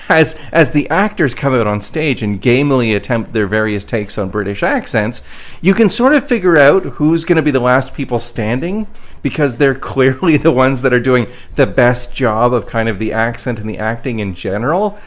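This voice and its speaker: Animated man podcaster. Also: medium pitch, moderate pace. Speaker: podcast co-host. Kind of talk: casual humorous chat.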